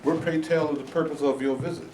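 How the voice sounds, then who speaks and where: A man reading the part of a reverend, measured and earnest. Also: on the high side, steady tone, a little mellow, natural voice. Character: principled cautious male reverend leader. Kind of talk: staged reading. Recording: echoey room, noisy.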